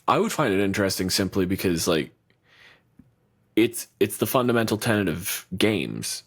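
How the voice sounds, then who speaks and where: A man's voice: low voice, big pitch swings, normal speed, slightly rough. A man co-hosting a podcast in casual chat, blunt and profane, riffing with lots of energy. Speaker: podcast co-host.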